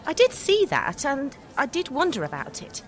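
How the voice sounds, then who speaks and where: A woman's voice: high voice, huge pitch swings, moderate pace. An actress playing a curious, tentative female shop customer in a radio comedy. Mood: curious, hesitant.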